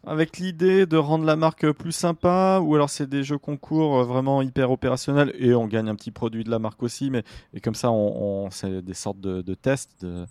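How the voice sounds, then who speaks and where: A man's voice: medium pitch, fairly even intonation, normal speed, hardly any pauses, a little mellow, smooth, no room sound. A man hosting a business podcast with an informal, conversational manner. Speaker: podcast host. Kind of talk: scripted intro and conversational interview.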